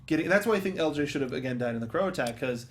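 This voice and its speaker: A man hosting a casual panel discussion, speaking in a halting, self-interrupting way. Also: a little high, animated, slight background noise. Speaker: host of a film review panel show.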